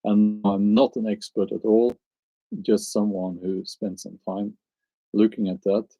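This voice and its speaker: A man's voice: lowish voice, flat delivery, a little slow, dark tone, no echo, poor audio. A man with a Norwegian accent, chatting on a live stream and answering his viewers, hesitant and musing as he searches for words. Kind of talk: casual live stream chat.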